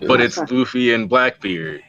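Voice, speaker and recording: A man's voice: mid-range voice, measured pace, sharp tone. A man taking part in a casual anime chat over a dull, lo-fi online voice connection. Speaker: participant in stream discussion.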